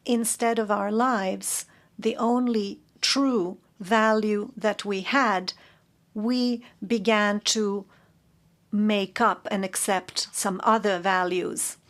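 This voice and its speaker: Female court interpreter, middle pitch, very slow and halting, corrects herself. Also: steady tone. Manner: measured, halting, with self-corrections. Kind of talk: interpreting a formal statement.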